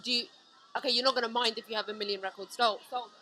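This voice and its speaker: A woman from Pakistan hosts a relaxed interview, eager and quick to restart her thoughts. Her voice is high and bright with a crisp edge. Faint background noise hums behind her. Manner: eager, restarts, addresses listeners as guys. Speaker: podcast host. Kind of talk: casual interview chat.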